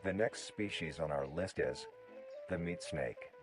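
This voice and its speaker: A man reading scripted nature documentary narration in a formal, measured manner. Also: sits low, expressive, over music.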